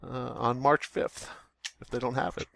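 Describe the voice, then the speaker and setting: A man's voice: medium pitch, flat delivery, normal speed, creaky, fairly bright tone, no room sound. A man hosting a podcast, ranting in an exasperated, profane way about a store. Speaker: podcast host. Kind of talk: comedic rant.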